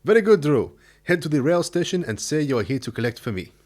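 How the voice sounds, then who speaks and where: A man's voice: a little high, very animated, a little fast, darkish tone. Man playing a formal, commanding gang leader. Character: confident, gallant male gang leader. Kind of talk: radio drama acting.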